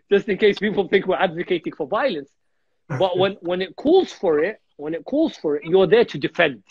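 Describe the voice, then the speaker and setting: A man's voice: high-pitched, expressive, moderate pace, breathy edge, dry recording, lo-fi sound. A man in his thirties from Iraq, a guest speaker in a religious discussion on a video call, earnest and repeating words as he thinks. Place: home room on a video call.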